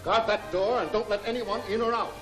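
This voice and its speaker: Man playing clipped police chief giving orders, high voice, music behind, old 1940s film. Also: steady tone, brisk, natural voice. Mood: brisk, urgent. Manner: clipped, commanding. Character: authoritative male police chief barking brisk orders. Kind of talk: 1940s movie serial acting.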